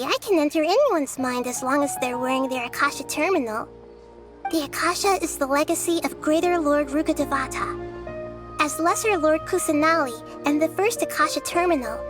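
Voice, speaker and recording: Little child deity, high-pitched, gentle, wise, earnest, measured formal delivery, video game cutscene, music underneath. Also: female voice, lively intonation. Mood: matter-of-fact. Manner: measured, thoughtful, formal explanations.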